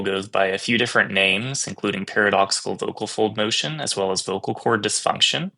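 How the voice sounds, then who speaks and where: Young man, bright forceful tone, deep pitch, explanatory interview guest. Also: gravelly. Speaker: guest, resident physician.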